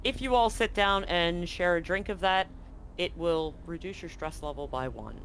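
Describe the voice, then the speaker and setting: Higher-voiced man running a tabletop game. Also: moderate pace, a bit bright, slight background noise. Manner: explanatory, addressing players and audience. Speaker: game master. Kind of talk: live tabletop roleplaying game narration.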